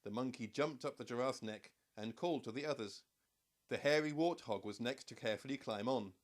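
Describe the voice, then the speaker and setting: Casting: male children's audiobook narrator, mid-pitched voice, measured and expressive storytelling delivery.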